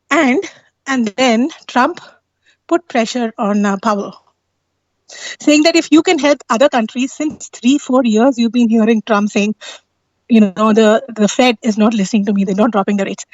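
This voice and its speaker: A woman's voice: fairly high pitch, no room sound, muffled recording. A woman explaining things in casual discussion, insistent and often checking that the listener agrees. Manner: insistent, often seeks agreement with tag questions. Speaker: guest on a live talk stream.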